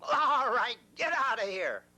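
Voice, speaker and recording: A man voicing an angry, bossy bridge troll who yells drawn-out threats. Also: very high-pitched, very animated, voice pushed up, heavily acted.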